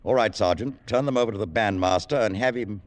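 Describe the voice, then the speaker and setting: An actor playing a stern, curt British army colonel giving orders in an old radio drama. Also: male voice, fairly low pitch, fast, close to an everyday voice. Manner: curt, commanding. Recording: reverberant vintage 1940s radio recording.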